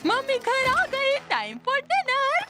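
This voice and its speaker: A dotty cartoon cat lady, coos in a very high voice. Her delivery swoops up and down in a theatrical singsong over clearly audible music. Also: huge pitch swings, drawn-out words, heavily acted. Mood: cooing. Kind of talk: Hindi cartoon dub acting.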